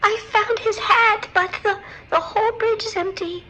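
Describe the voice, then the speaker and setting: An actress playing a frightened stranded passenger calling for help in an audio drama, shaky, hesitant and alarmed. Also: female voice, very high voice, steady tone, moderate pace, pushed and bright, dull audio. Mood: shaken. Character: frightened, stranded female cruise ship passenger calling emergency dispatch. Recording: noisy emergency call with ambience.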